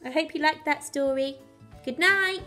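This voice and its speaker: Female storyteller, high-pitched, expressive children's bedtime read, animated rhyming delivery with dramatic emphasis. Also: normal speed, faint music behind. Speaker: primary school teacher reading a bedtime story.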